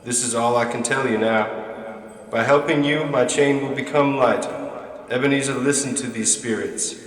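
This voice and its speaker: An actor playing a solemn, mournful chained ghost in a radio drama, giving drawn-out warnings through a ghostly reverb. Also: male voice, mid-range voice, fairly even intonation. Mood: urgent warning. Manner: slow, solemn, drawn-out delivery. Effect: heavy ghostly reverb. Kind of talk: stage-like radio drama acting. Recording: echoey long reverb, distant voice.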